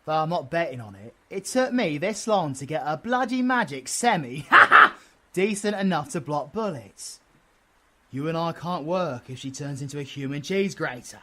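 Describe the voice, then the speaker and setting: A man's voice: fairly high pitch, lively intonation, moderate pace, fairly bright tone. A man with a British accent playing a cocky, crude, wisecracking supervillain in an audio roleplay, full of cheeky, sweary banter. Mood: cheeky, animated.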